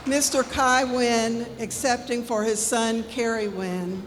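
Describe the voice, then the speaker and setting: A woman presenting donations and scholarships at a community ceremony, reading names slowly at a podium microphone and correcting herself politely. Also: a little high, steady tone, a little hiss or hum. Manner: reads names slowly with small apologetic corrections. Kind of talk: formal announcing into a microphone. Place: large indoor event hall. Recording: long hall reverb, music playing. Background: background music.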